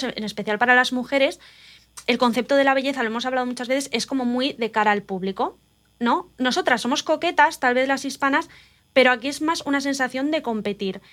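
A woman from Spain, telling personal stories in an animated, confiding casual chat. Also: high voice.

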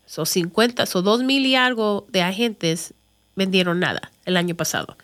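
A woman's voice: mid-range voice, normal speed, a bit bright. A woman from Chicago with an American accent, a native English speaker, giving an informal, conversational explainer as a real estate agent and mixing in English words and phrases. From Chicago, United States. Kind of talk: informal explainer talk to viewers.

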